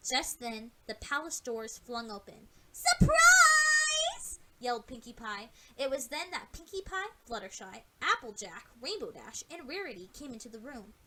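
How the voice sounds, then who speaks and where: A woman's voice: fairly high pitch, animated, moderate pace, hardly any pauses, heavy background noise. A woman narrating a scripted fan audio story in a dramatic storytelling style.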